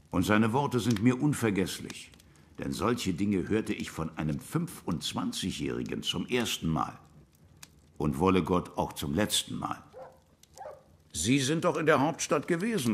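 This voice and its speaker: A man's voice: lowish voice, a little slow, slight background noise. An actor playing a plain-spoken old army captain who vividly recounts his adventures in a German radio drama. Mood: reflective. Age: older. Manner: animated, vivid storytelling. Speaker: narrator. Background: music.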